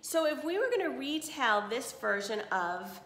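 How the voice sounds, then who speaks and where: A woman's voice: high-pitched, sing-song, normal speed, fairly bright tone. A woman in her forties teaching children, reading aloud and explaining casually. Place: small indoor room at home. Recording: roomy home video recording.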